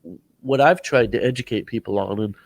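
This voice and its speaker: A man's voice: mid-range voice, fairly quick, vocal fry, no room sound. A man hosting an interview podcast, stuffed up with a sore throat, giving earnest coaching advice in a casual chat. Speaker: podcast host.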